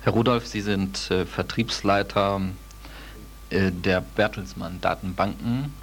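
A man's voice: sits low, fairly even intonation, measured pace, slight background noise. A man asking questions in a formal interview style.

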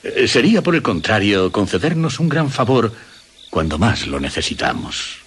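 A man's voice: on the low side, big pitch swings, normal speed, fairly bright tone, husky, exaggerated voice. An actor playing a middle-aged, courtly aristocratic father in a Castilian Spanish radio drama, with formal, gallant diction. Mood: courteous concern. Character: courtly, kindly aristocratic father of a young woman.

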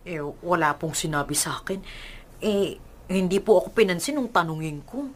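An older household helper, meek and respectful. Her voice is low for a woman. The delivery is radio drama acting. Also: lively intonation, normal speed. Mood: deferential.

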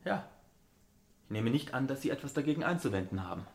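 An actor in a German radio drama playing an earnest, adoring painter who is troubled and wistful and speaks in formal, confessional phrases. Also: male voice, medium pitch, big pitch swings, fast, vocal fry. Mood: indignant.

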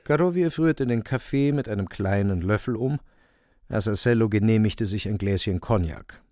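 A man's voice: on the low side, moderate pace, dark tone, no echo. Dry, close male radio drama narrator. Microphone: close studio microphone.

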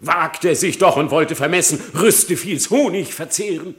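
An actor playing a sly, flattering fox who feigns piety and innocence, in a theatrical, wheedling verse radio play. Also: male voice, a little high, moderate pace. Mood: self-justifying. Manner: theatrical, wheedling, mock-pious.

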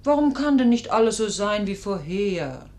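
A woman's voice: medium pitch, sing-song, normal speed, a little mellow, natural voice. A woman playing an anxious wife in a 1950s radio play, earnest and imploring. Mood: pleading. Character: anxious, pleading wife begging her husband. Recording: noisy old radio recording.

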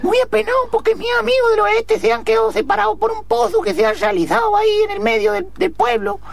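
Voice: extremely high pitch, fairly even intonation, quick pace, creaky, full character voice. An Argentine performer voicing a polite, earnest small-town little boy who speaks hesitantly and apologetically in improvised radio theatre. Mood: apologetic, sad. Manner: hesitant, deferential, repeats apologies.

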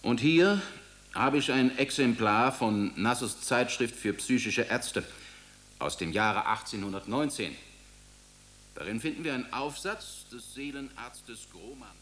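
A man's voice: medium pitch, animated, measured pace, fairly bright tone. An actor playing a scholarly man who presents an old medical journal in a matter-of-fact, lecturing way.

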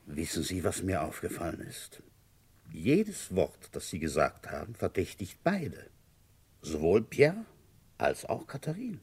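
Shrewd country police inspector in a radio crime play, raspy voice that swings up and down, keeps probing. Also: male voice, low voice, wildly swooping pitch, unhurried, a little mellow, exaggerated voice. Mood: shrewd, suspicious. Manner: probing, pointed questioning. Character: male rural police inspector, probing, shrewd, quietly ambitious. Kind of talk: German radio crime drama acting.

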